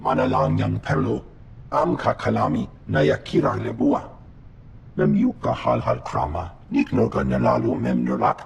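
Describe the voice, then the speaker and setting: An actor voicing a gruff, blustering male alien gangster in a video game, speaking an invented alien tongue in a gravelly voice. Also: fairly low pitch, lively intonation, moderate pace, a little hiss or hum. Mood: defiant. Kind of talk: video game creature acting.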